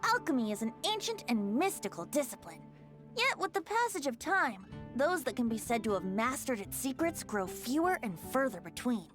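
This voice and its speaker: Cheeky boy bard, high voice that swoops all over, theatrical and teasing, game music behind. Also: big pitch swings, normal speed. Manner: theatrical, lilting, amused. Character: playful, whimsical youthful male bard, theatrical and teasing. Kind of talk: anime video game dialogue.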